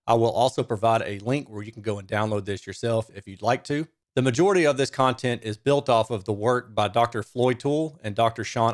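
A man, presenting a technical explainer in a measured, informal way at a close microphone. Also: medium pitch. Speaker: audio reviewer and presenter. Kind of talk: technical explainer, semi-scripted. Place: small home studio room. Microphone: microphone on a stand close in front.

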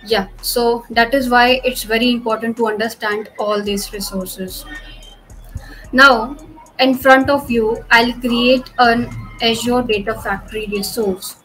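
A woman's voice: fairly high pitch, steady tone, a little slow, bright, forceful tone, faint music behind, low-quality recording. Woman explaining cloud steps, filler-heavy. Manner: frequent "okay" and "you know" fillers, patient explaining. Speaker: cloud tutorial presenter. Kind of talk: screen-share technical walkthrough.

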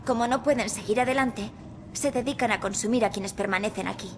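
A woman's voice: a little high, fairly quick, natural voice. An actress playing a stern, knowing female ghost guide who speaks cryptically and with measure in a video game dub. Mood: calm, instructive. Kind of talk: video game cutscene acting in Spanish dub. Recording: faint ambient noise.

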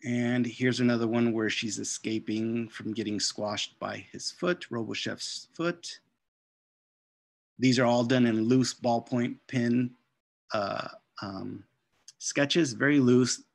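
A middle-aged man gives an informal slide talk over a video call. His medium-pitched voice has a noticeable creak, and he speaks casually with hesitant pauses. The sound is dry and close. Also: no room sound. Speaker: children's book author and illustrator. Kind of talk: informal slide presentation of artwork.

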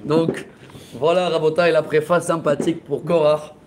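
A man in his forties, a rabbi teaching a lively Torah class in a classroom, telling stories with laughter and gestures into a close webcam. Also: a little high, normal speed, noisy background. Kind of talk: lively storytelling lesson. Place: classroom with bookshelves, indoors. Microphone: laptop webcam at close range.

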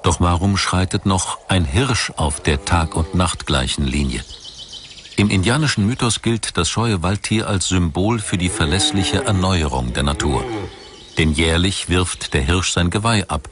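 A man reading scripted documentary narration in a measured, dramatic storytelling manner. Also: deep voice, background noise. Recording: music under the voice. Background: background music.

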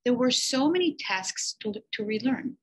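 A teacher reading a children's picture book aloud, slow and expressive with emphatic pauses. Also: female voice, fairly high pitch, husky.

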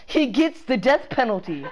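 A man's voice: very high voice, noisy background. A young man chatting casually with a friend while playing an online shooter, joking crudely and laughing often. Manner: giggly, joking, crude banter. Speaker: gamer. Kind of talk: casual gaming chat with a friend.

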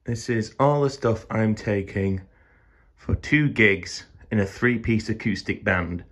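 A man's voice: fairly low pitch, slow, a bit bright. A man in his twenties vlogging casually to a handheld camera while on tour as a musician, chatty and jokey. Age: 20s. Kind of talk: casual vlog narration. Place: van, hotel room and small venue. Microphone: phone or camera on a handheld grip. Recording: noisy handheld phone audio.

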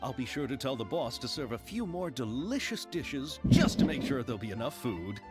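A man's voice: mid-range voice, very animated, a little fast, over music, close to an everyday voice. A man voicing a courteous film producer in a game, hesitant and apologetic, then jovial. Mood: flustered. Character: eager male film producer, flustered and courteous.

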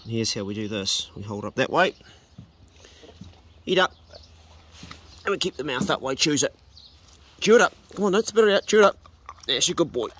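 A man's voice: mid-range voice, animated, normal speed, pauses often, fairly bright tone. A middle-aged man with a New Zealand accent and an ordinary mid-range voice talks in an easy, practical way. He coaxes his dog with "good boy" and "mate" in between plain explanations. He is recorded outdoors on a body-worn action camera, with faint background noise. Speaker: homesteading vlogger. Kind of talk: casual explanatory vlog, talking to his dog. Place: outdoors on a rural homestead and in bush.

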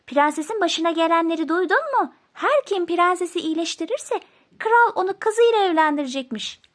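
A cheeky little mouse from a storybook, voiced by a woman, pitched very high and pushed louder. It taunts in a sing-song way, like a teasing gossip in a folk tale. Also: expressive, moderate pace. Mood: excited, gossipy. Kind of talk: storybook character voice.

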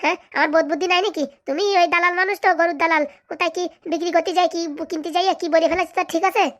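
Give me an actor doing a very high-pitched, fast-talking cartoon village wife, bossy and sharp-tongued. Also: fairly even intonation. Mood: scolding. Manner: scolding, sharp-tongued, dismissive. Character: bossy, scolding middle-aged village wife and mother. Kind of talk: comedy cartoon voice acting.

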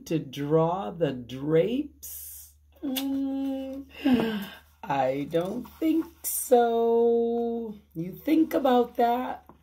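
A woman in her fifties reading a children's story aloud expressively, deliberately, with questioning asides to young listeners. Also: on the low side, warm tone. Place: small room at home. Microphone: phone at arm's length.

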